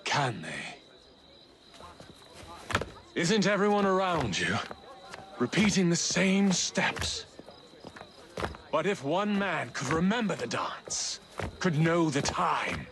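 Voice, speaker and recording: An actor playing a middle-aged Victorian crime lord in a video game, courtly and condescending, with a theatrical delivery. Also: male voice, a little high, big pitch swings, slow pace, pauses often, background noise, full character voice. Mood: persuasive. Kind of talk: video game cutscene acting.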